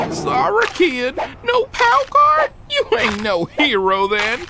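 A woman's voice: high-pitched, wildly swooping pitch, slow, very noisy, exaggerated voice. A woman voicing a haughty, mocking cartoon character with a drawling, theatrical delivery. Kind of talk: cartoon voice acting. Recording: loud music under the voice.

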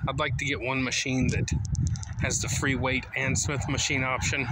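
Slow-talking man vlogging on a walk. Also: mid-range voice, background noise. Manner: rambling, easygoing, halting with fillers. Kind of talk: casual walking vlog monologue. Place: outdoors walking down a suburban street. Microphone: phone held at arm's length.

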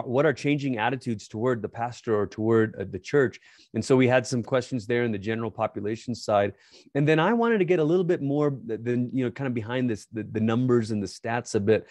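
A man's voice: mid-range voice, dry recording. A man in his forties who grew up in Malaysia, a pastor and author answering interview questions earnestly from a home study on a studio microphone. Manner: earnest, explanatory, animated. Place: home study with bookshelves, remote. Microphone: boom-arm studio mic.

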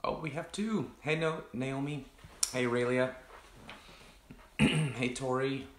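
A man, a pastor casually chatting with online viewers in a rambling, reflective way, his voice deepened by allergies. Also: takes it slowly, reverberant. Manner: rambling, many restarts and fillers, greets viewers by name. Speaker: pastor hosting an online coffee chat.

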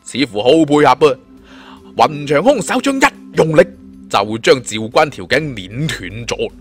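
A man telling a suspense fantasy story in an expressive, colloquial, dramatic style. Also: mid-range voice, unhurried, soft background music. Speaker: audiobook storyteller.

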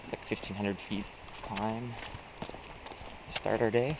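A young man talks unhurriedly in a slightly low voice while hiking. He is easygoing and laughs often. The recording carries noticeable outdoor noise from a head-mounted action camera on a mountain trail. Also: steady tone, unhurried. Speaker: thru-hiker vlogger. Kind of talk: casual trail vlog.

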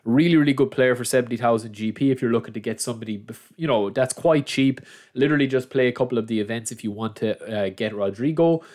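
A young man talks casually over a screen recording, sounding a little nasal from a head cold. His manner is chatty and matey, addressing his audience as lads while he walks through player picks. His pitch sits at an ordinary middle level for a man. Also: fast, darkish tone. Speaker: gaming channel host. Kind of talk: screen-recorded game guide.

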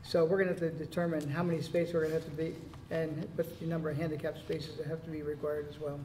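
A town board member questioning and discussing plans at a public meeting, casual and talkative. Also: male voice, fairly high pitch, flat delivery, fast, warm tone, a little hiss or hum. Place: town hall meeting room, indoors. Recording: echoey room audio.

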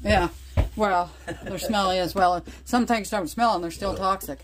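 A woman's voice: medium pitch, moderate pace. An older woman from Scotia, chatting and teasing in a casual home vlog filmed on a phone held at arm's length. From Nova Scotia, Canada. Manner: chatty, teasing, laughs often. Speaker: vlogger. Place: parked truck, then a home kitchen. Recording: noisy phone recording.

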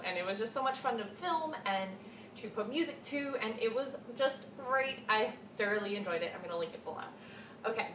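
A young woman with a fairly high voice talks casually and gushingly, like a home book vlogger. She sounds set back from the camera mic in an echoey room, with a little noise underneath. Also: fairly bright tone. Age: 20s. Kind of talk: casual chat to camera about books. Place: small cluttered room at home, indoors. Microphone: camera mic a short distance away. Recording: echoey room, distant mic, faint hiss.

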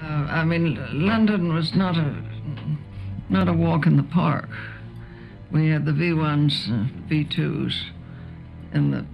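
An older woman recalling wartime in an interview, halting, with wry understatement. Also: low voice, creaky, muffled recording, soft background music. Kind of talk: interview reminiscence.

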